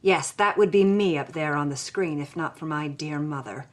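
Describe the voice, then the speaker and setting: An actress playing a reflective granddaughter who reads a journal aloud with dry, wry irony in an audio drama. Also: female voice, sits low, moderate pace, lightly acted. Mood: wry, somber.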